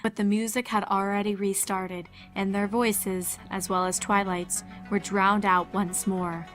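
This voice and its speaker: Over a music backing, a woman tells a story in a dramatic, scripted narrator's style. Her voice sits at a middle pitch as she reads fan fiction aloud. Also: little pitch movement, unhurried, fairly bright tone. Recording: music behind the voice. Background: background music.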